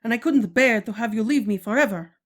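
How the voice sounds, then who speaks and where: A woman's voice: a little high, a little fast, natural voice. A woman playing a protective, commanding Amazon queen in a stately, formal style of 1940s radio serial acting. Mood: firm, anguished.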